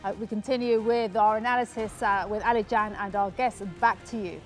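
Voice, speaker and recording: A woman with a mid-range voice presents live election figures in a steady, informative delivery. Faint music plays underneath. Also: mid-range voice, brisk. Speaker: news presenter.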